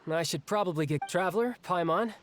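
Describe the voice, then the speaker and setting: A cocky young fighter from a video game, played by a male actor. His voice sits high for a man and he talks with casual ease over prominent music. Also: brisk, lightly acted. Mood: breezy farewell. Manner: casual, breezy. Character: breezy, cocky young fighter eager to spar. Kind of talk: scripted video game voice acting.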